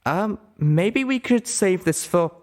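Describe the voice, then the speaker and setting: A man's voice: sits high, expressive, slow, darkish tone, pitched above the performer's own voice. A man voicing a small young mare, an awkward and exasperated peacemaker, in a storybook reading. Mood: hesitant.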